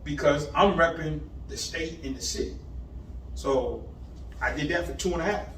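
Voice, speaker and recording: A man in his fifties from Boston, telling his life story in animated street slang, rattling off places. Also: on the high side, normal speed. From Boston, Massachusetts. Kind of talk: casual storytelling interview. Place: indoor room on location. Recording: echoey room, far mic, faint background hiss.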